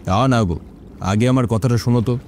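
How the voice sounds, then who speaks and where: A man's voice: medium pitch, fast, darkish tone, a little hiss or hum, lightly acted. A man playing an impatient young village fisherman in a cartoon, coaxing and insistent. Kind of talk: Bengali cartoon story dialogue.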